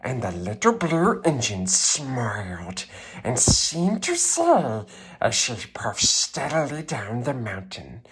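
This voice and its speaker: A man voicing a wretched, throaty creature who reads a children's storybook in a theatrical croak. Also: mid-range voice, huge pitch swings, slow, background noise, exaggerated voice. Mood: dramatic narrating. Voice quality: raspy, strained throaty croak. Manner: theatrical, wildly swooping delivery. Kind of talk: dramatic storybook reading.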